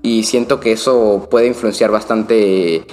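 A man's voice: fairly low pitch, steady tone, a little slow, a little mellow. A young man from Venezuela co-hosting a podcast, talking conversationally about self-improvement. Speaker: podcast co-host. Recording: long reverb, music behind the voice. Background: music.

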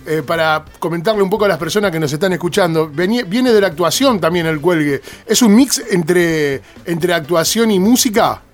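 An Argentine man hosting a casual radio interview, repeating words haltingly as he forms his questions. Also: sits high. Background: music.

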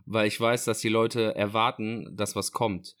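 A man co-hosting a casual podcast over an online call, hoarse from a cold, joking in a deadpan, self-mocking way. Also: on the low side, measured pace. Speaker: podcast co-host. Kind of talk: casual chat. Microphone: online call mic.

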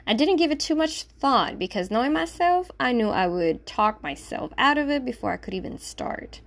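A woman's voice: on the high side, sing-song, normal speed, creaky. A woman from Puerto Rico, a native Spanish speaker with a slight Puerto Rican accent, chatting casually and self-deprecatingly to listeners as a solo podcast host.

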